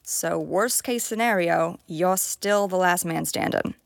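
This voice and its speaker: A woman's voice: on the low side, measured pace, gravelly, no room sound, clean studio sound. An actress playing a tough, sardonic, foul-mouthed young woman who leads a team in a fantasy audio drama. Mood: firm, reassuring. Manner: blunt, sardonic.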